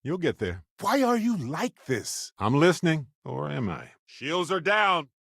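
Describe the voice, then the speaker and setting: An actor playing a warm, wry, chatty space explorer companion in a video game, with an affable, teasing and theatrical delivery. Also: male voice, a little high, sing-song, measured pace, vocal fry, breathy edge, no room sound, studio quality, heavily acted. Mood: upbeat, urgent.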